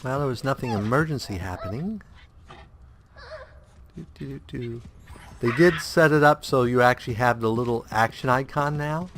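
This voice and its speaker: A man's voice: mid-range voice, normal speed, pauses often, slight background noise. Stuffy-nosed man narrating his gameplay. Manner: hums idly while navigating menus; thinking aloud, relaxed, rambling. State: stuffed up, slightly sore throat. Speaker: let's play host. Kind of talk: casual gameplay commentary.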